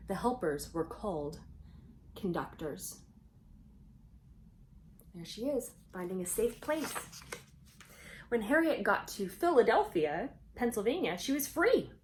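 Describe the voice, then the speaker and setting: A woman reading a children's storybook aloud expressively, pausing for conversational asides and questions to young listeners. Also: medium pitch, very animated, a little hiss or hum.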